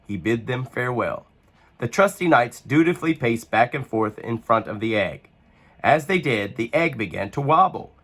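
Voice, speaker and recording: Animated man reading a picture book. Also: medium pitch, moderate pace, slightly rough. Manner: expressive, animated storytelling. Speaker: children's book reader.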